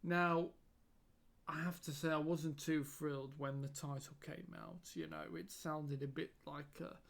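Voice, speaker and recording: Slow, gravelly man reviewing. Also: a little high. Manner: hesitant, many fillers and repeated words. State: losing his voice. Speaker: YouTube reviewer. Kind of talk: casual spoken review.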